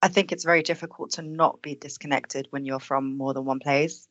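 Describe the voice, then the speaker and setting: Woman panel guest, born in Denmark, very low voice, dry close sound, reflective and candid. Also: steady tone, brisk, fairly bright tone, dry recording. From born in Denmark, East African heritage. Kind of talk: conversational podcast discussion.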